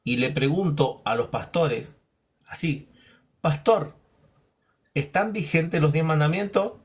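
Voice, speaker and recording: A man's voice: slow pace, breathy edge. A man teaching a Bible lesson in a preaching style, asking rhetorical questions and acting out imagined dialogues. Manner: emphatic, drops low on verdicts.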